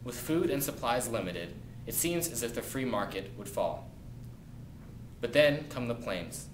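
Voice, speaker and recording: A man's voice: mid-range voice, very animated, unhurried. A young man delivering a scripted news report as a reporter in an amateur newscast. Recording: echoey room with clear background noise.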